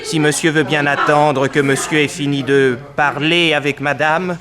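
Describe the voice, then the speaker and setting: A man's voice: a little high, fairly even intonation, normal speed, a crowd in the background, close to an everyday voice. An actor playing a deferential, sly manservant in a vintage radio drama, formal and obsequious in his address. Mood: courteous. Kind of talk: 1960s French radio drama acting.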